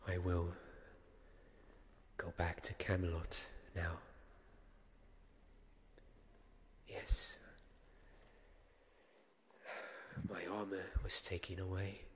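A young man playing a devoted, weary knight in a soft-spoken ASMR roleplay, halting and often slipping into a whisper. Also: low-pitched, flat delivery, a little hiss or hum. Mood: tender farewell. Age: young adult. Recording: long cavernous reverb, faint background ambience.

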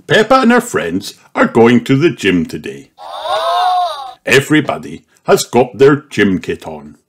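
A man narrating a children's picture book aloud in an expressive, deliberate style. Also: medium pitch, background noise. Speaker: storybook narrator. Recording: music behind the narration.

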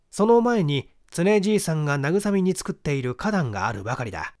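A male audiobook narrator reads mystery fiction with deliberate, dramatic delivery. His voice sits a little high for a man and moves widely in pitch from phrase to phrase. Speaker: audiobook narrator, stage actor. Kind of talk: scripted mystery novel narration.

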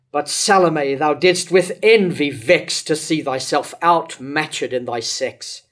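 Grief-stricken tyrant king, read by an older man, high male voice, slow declamatory verse, remorseful, stage tragedy. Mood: bitter accusation. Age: older, around 70. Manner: declamatory, measured. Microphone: lectern microphone.

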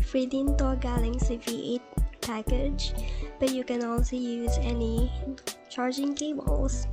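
A woman with a Filipino accent giving a casual step-by-step tutorial, switching between two languages. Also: high-pitched. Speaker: tech tutorial host. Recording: music playing behind the voice. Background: background music.

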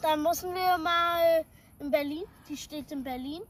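A woman's voice talking slowly and hesitantly outdoors on a night platform, pausing with fillers. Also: high voice. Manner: slow, hesitant, pauses with fillers. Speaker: vlogger's daughter. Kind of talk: casual chat. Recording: faint outdoor background noise.

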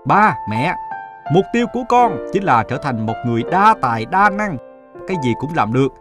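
A man's voice: on the high side, very animated, normal speed, over music. A man voicing an earnest, self-doubting young adult son in a moral-story cartoon. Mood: eager. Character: earnest thirty-year-old son, restless and self-doubting.

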